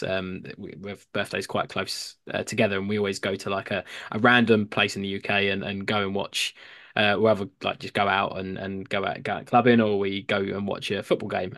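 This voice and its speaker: Young English male podcast host, lowish voice, dry sound, hesitant. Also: fairly bright tone, no room sound. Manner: hesitant, many fillers and restarts. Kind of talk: casual chat.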